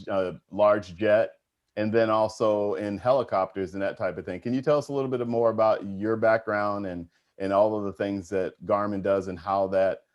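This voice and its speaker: A man's voice: lowish voice, a little mellow. A man hosting a panel program, conversationally introducing guests and putting questions to them. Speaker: host of an aviation panel program.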